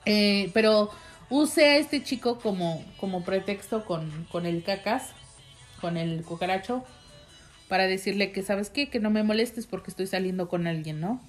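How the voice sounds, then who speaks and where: A woman's voice: medium pitch, normal speed, soft background music. A young woman with a Mexican accent tells a personal story in a casual, rambling way, with many fillers and asides to her viewers. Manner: chatty, rambling, heavy use of fillers, addresses viewers directly. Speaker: vlogger telling a personal story.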